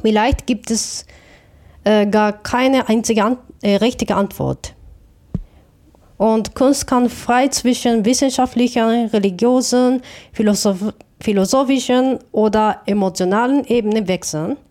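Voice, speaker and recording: Japanese woman w accent answering interview questions, mellow tone, thoughtful, stops and restarts words. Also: fairly high pitch. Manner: thoughtful, halting with self-corrections. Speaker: interview guest, artist.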